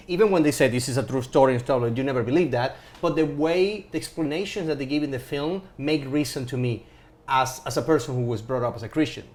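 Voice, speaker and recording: A man's voice: on the high side, a little mellow. Man chatting emphatically about films. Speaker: film podcast panelist. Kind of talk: casual film chat.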